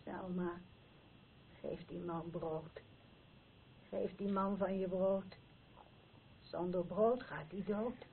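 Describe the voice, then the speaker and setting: A woman's voice: fairly low pitch, steady tone, slow, pauses often. An actress in a radio play playing a woman who gives orders in a chanting way. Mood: insistent chanting. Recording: thin phone-like recording, noisy.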